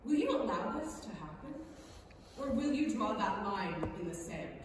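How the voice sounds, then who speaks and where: A woman playing an indignant high school student delivering an emphatic, rhetorical speech of protest in a staged reading. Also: sing-song, moderate pace, close to an everyday voice. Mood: indignant, firm. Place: large theater stage at lectern, indoors. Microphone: far camera in audience. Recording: very echoey theater, distant mic, faint noise.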